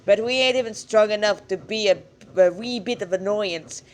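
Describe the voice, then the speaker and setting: A gruff, proud skeletal god of old, as a woman puts him on while reading a story aloud. He speaks in a wobbly, half-kept Irish lilt, a touch louder than her narrating voice and a little higher. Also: normal speed, lightly acted. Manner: attempted Irish accent, wobbly and inconsistent.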